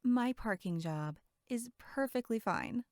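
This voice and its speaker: A woman's voice: fairly high pitch, expressive, takes it slowly, a little mellow, no room sound, studio quality. A woman playing a brash, sarcastic young camp counselor in a horror audio drama, snarky and blunt. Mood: bickering. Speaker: voice actor.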